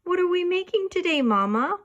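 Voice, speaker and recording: A woman's voice: very high voice, big pitch swings, brisk, fairly bright tone, dull audio, voice pushed up, full character voice. A woman voicing an eager, curious little girl learning to cook in a children's storybook, with a questioning manner.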